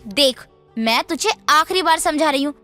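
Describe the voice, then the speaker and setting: A woman's voice: high voice, animated, moderate pace, sharp tone, faint music behind. A woman voicing a haughty young wife in a Hindi story cartoon, snappish, bossy and contemptuous. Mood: scornful, angry. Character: haughty, scornful young wife berating her husband. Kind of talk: Hindi animated story dialogue.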